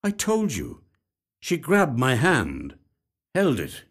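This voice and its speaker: A weary, grave male detective, acted by a story reader, speaks slowly and deliberately. His pitch sits raised and he leaves heavy pauses, as in an audio short story. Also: wildly swooping pitch, slightly rough, full character voice. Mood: warning.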